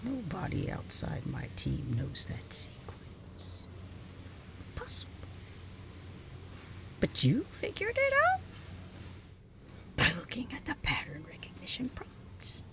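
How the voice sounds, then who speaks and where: A woman playing a haughty, flustered reclusive scientist in a close ASMR roleplay, halting and repeating herself as she gives orders. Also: on the high side, wildly swooping pitch, vocal fry, heavily acted. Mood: grudgingly impressed. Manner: halting, repetitive, sighing, imperious.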